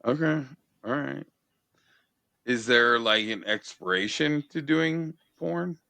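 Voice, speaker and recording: A man's voice: medium pitch, lively intonation, takes it slowly, gravelly, bright, forceful tone, no echo. A man in his forties hosting a casual interview podcast, asking blunt questions and swearing freely. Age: 40s.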